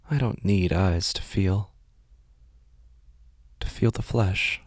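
A man playing an unhinged narrator in a first-person horror audio drama, speaking in a halting, intense, dramatic way and repeating phrases. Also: sits low, creaky, dry recording, clean studio sound, heavily acted. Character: unhinged man narrating his horrifying loss of sight.

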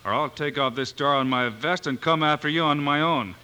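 An actor playing a steady, fearless, fair-minded marshal hero in an old radio western, firm and plain-spoken. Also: male voice, fairly high pitch, fairly quick, clean tone. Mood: stern.

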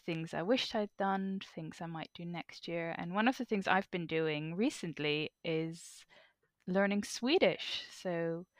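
A woman's voice: lowish voice, measured pace, no echo. A woman whose first language is Dutch, co-hosting a podcast in a chatty, laughing way. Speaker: podcast co-host. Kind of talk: casual podcast chat.

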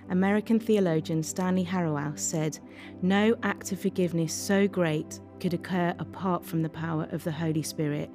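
A woman reading a scripted devotional in a measured, reflective way. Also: lowish voice, mellow. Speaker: devotional reader. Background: faint music.

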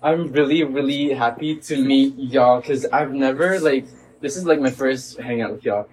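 A man's voice: mid-range voice, normal speed, darkish tone, recorded from a distance, low-quality recording. Chatty young male podcast host. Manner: chatty, lots of "like", playful put-on quotes. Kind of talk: casual chat.

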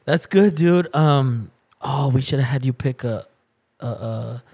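A man's voice: medium pitch, a little slow, warm tone, slightly rough. A man co-hosting a podcast, talking in loose, repetitive bilingual banter. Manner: rambling, repeats words, mixes in Spanish. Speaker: podcast co-host.

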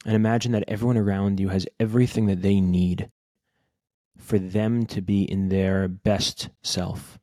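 A man with a deep, low-pitched voice guides a meditation. His delivery is gentle and unhurried, with little rise and fall, and he leaves long pauses between short phrases. The recording is close and dry. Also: level tone, no room sound. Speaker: podcast host guiding a meditation.

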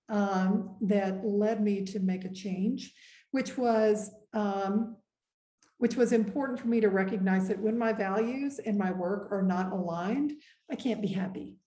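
A middle-aged woman, a guest executive, reflecting earnestly and measuredly on her career in an interview, pausing often. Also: mid-range voice, mellow. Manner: earnest, measured, with frequent pauses and "um". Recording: echoey room.

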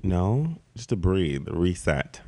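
A young man from Zimbabwe, raised in South Africa, co-hosting a podcast and teasing his co-host with quick questions. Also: low-pitched, big pitch swings, a little mellow. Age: young adult. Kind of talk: casual podcast chat.

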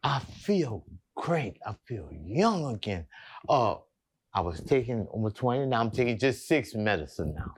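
Enthusiastic fifty-something man, lively voice. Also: medium pitch, unhurried, husky. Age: 50s. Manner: enthusiastic, repeats himself, restarts often. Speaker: customer giving a testimonial. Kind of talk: casual testimonial chat.

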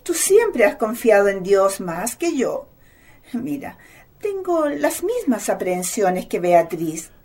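A woman's voice: medium pitch, big pitch swings, takes it slowly, warm tone, breathy edge, full character voice. An actress playing a fretful but fond elderly grandmother in a radio drama, with sighing, doubtful delivery. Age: older. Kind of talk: Spanish-language radio drama acting.

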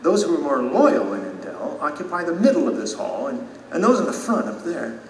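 Earnest, humble man, lively intonation, echoing. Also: fairly high pitch, far from the mic, a little hiss or hum. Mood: gently earnest. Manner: earnest, confessional, thoughtful pauses. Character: humble, remorseful male citizen, gently earnest. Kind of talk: audio drama acting. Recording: echoey hall, music behind.

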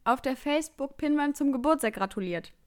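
A young woman co-hosting a podcast, talking casually and lively, with frequent laughs. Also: sits high, a crowd in the background. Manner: lively, laughs often, drawn-out emphasis. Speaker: podcast co-host. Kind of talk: casual chat.

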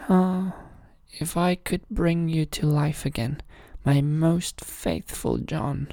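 A man's voice: a little high, unhurried, vocal fry, dark tone, no echo, voice pushed up. A man doing a grieving fairy-tale king. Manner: lamenting, pleading.

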